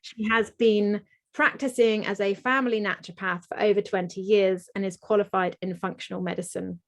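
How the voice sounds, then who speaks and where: A woman's voice: medium pitch, steady tone, moderate pace. A woman hosting a webinar, introducing her guest in an admiring way with fillers and repeated words. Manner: warm, admiring, with fillers and restarts. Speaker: webinar host.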